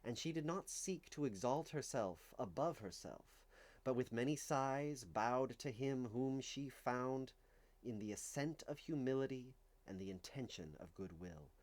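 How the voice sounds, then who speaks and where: A man reading religious writing aloud in a solemn, declamatory way, with deliberate phrasing and dramatic emphasis. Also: medium pitch, animated, a little mellow. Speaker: reader of a religious text. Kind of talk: dramatic reading of medieval visionary scripture.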